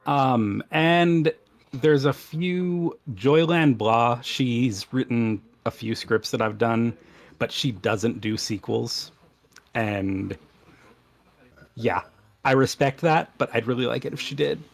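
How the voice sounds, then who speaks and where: Slow, creaky-voiced man chatting. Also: mid-range voice. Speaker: podcast guest, voice actor. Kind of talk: casual chat.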